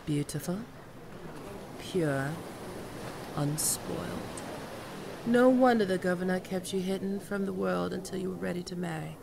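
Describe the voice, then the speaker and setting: A woman playing a commanding, mocking and seductive female pirate captain in an audio roleplay, with theatrical, teasing, drawn-out menace. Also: lowish voice, gravelly, background noise. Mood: menacing, appraising. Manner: theatrical, teasing, drawn-out menacing delivery with archaic turns of phrase. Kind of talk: ASMR roleplay acting.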